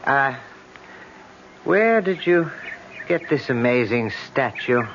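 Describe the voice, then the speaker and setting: An actor playing an earnest, learned young suitor, wondering and dramatic, in old-time radio drama. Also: male voice, mid-range voice, animated, slow. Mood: fascinated. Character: earnest, learned male suitor, fascinated by antiquity. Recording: noisy, dull old radio recording.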